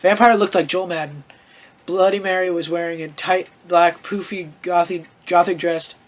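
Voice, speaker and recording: A man's voice: sits high, steady tone, normal speed. A man hosting a comedic read-aloud of fan fiction, with theatrical, mocking asides and casual commentary.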